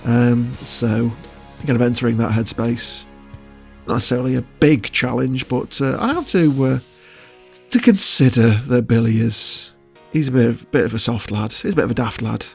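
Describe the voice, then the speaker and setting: A man's voice: medium pitch, moderate pace, gravelly, breathy edge, faint music behind. A man giving casual interview answers as a voice actor, choosing his words with hesitation and often chuckling.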